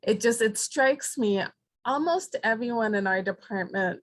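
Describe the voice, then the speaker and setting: A woman's voice: on the high side, unhurried, dry recording. A woman faculty member poses a question to the panel over a video call. She hesitates and apologizes, circling back as she searches for words. Her voice sounds close and dry. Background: fan noise.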